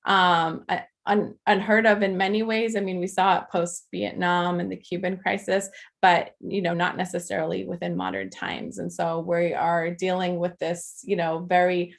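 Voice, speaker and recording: Gravelly-voiced woman giving a talk. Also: on the low side, little pitch movement, normal speed. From Afghanistan. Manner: many filler ums and restarts, earnest. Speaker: nonprofit advocate speaking to a political club. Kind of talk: informal presentation at a meeting.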